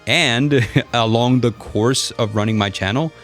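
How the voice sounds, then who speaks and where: A man narrating a personal story as a voice actor, in an expressive, dramatic storyteller's delivery. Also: mid-range voice, unhurried. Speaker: voice actor and content creator. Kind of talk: personal storytelling with numbered lessons. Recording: music behind the voice. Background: background music.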